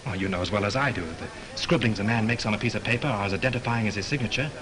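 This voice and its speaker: An actor playing a sharp male mystery writer who lays out a murder case with brisk confidence, in 1930s film style. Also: on the low side, a crowd in the background, close to an everyday voice. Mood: confident. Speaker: mystery writer turned sleuth.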